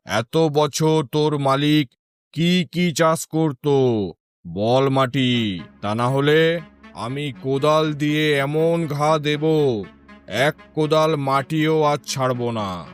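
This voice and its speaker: A stern, shrewd cartoon king, acted by a man, speaks slowly and with deliberate authority. His theatrical pronouncements carry over background music. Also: fairly high pitch, smooth. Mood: commanding. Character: stern, shrewd king judging a land dispute. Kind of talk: cartoon folk tale acting.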